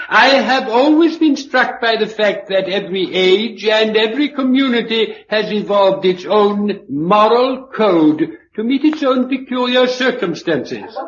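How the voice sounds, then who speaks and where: An echoey, slightly noisy radio play recording carries an old male professor. His voice is high and swoops widely as he lectures with pedantic, unsentimental authority. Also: a bit bright. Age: older. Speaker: sociology professor addressing a meeting. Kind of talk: radio drama speech. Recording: dull, echoey radio recording, faint noise.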